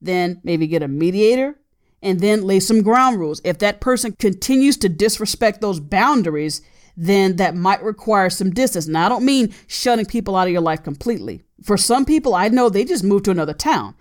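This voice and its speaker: A woman's voice: medium pitch, lively intonation, moderate pace. A woman in her late thirties hosting an advice podcast, talking earnestly and repeating her key points for emphasis. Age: 30s. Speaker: podcast host.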